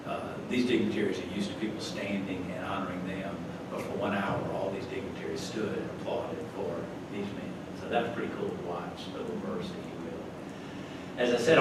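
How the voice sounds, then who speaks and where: An older man from North Carolina, giving a chatty, folksy slide talk to a club with chuckling asides. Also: fairly low pitch. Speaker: club guest speaker. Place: meeting hall. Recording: echoey room from far off, noisy.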